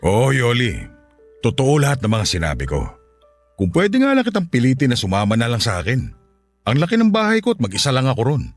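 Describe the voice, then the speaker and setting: A man's voice: medium pitch, wildly swooping pitch, a little fast, faint music behind. Man acting a lustful Filipino widower, wildly swooping delivery. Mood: smitten, confessing. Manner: suggestive, coaxing, chuckling seducer. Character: lustful middle-aged Filipino widower, coaxing, boastful, smitten. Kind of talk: Tagalog radio drama acting.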